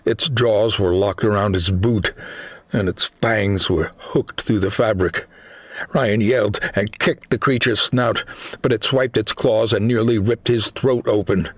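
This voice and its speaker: Deep-ish guy reading a thriller audiobook, slow deliberate drama, very dry close sound. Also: no room sound. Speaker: audiobook narrator. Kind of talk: dramatic scripted narration of an action thriller.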